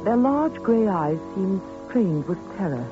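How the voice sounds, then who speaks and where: An actress playing a gentle, anxious family friend, soothing and hesitant, in 1940s radio suspense style. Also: female voice, mid-range voice, big pitch swings, measured pace, over music. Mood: uneasy narration. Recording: muffled 1940s radio recording.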